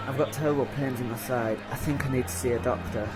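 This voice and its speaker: A man's voice: medium pitch, steady tone, fast, music in the background, lightly acted, low-quality recording. Man playing ailing hospital patient in pain. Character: suffering male post-operative patient in pain. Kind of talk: radio drama acting.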